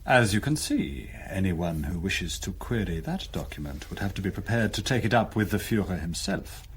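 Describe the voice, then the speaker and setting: An actor playing a chilling Nazi SS chief in a radio drama, politely pompous and measured, with quiet menace and a husky voice. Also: male voice, low-pitched, animated, slight background noise. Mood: brisk command. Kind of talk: broadcast radio drama acting.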